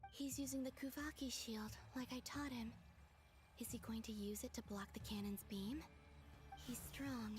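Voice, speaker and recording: A woman playing a gentle, pensive young moon maiden in a video game, with a soft, breathy, musing delivery. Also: on the high side, steady tone, moderate pace, faint music behind, natural voice. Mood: worried. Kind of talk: video game voice acting, inner monologue.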